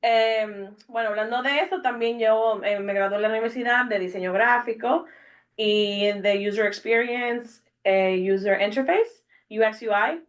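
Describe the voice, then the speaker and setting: A woman's voice: a little high, unhurried. Hesitant young woman, muffled podcast sound. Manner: hesitant, many fillers and restarts. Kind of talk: casual personal introduction. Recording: dull lo-fi podcast audio.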